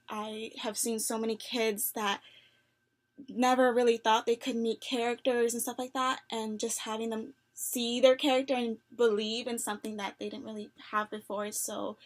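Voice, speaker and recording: A young woman with a fairly high voice answers interview questions over the phone. She sounds earnest and upbeat, fills her answers with "like" and "um", and laughs softly between thoughts. She comes across as sincere and eager to make a good impression. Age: young adult. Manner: earnest, upbeat, frequent "like" fillers and soft laughs. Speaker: job applicant.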